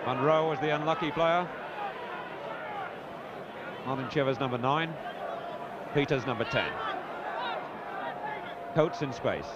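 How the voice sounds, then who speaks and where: A man's voice: medium pitch, takes it slowly, pauses often. A man giving live 1970s television football commentary in a terse, clipped manner. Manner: terse, clipped naming of players. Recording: dull 1970s broadcast, loud crowd noise. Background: loud stadium crowd.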